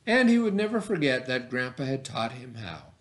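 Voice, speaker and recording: A man speaking as a teacher to children, expressive and encouraging, with dramatic emphasis and pauses. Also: medium pitch. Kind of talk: expressive read-aloud for children.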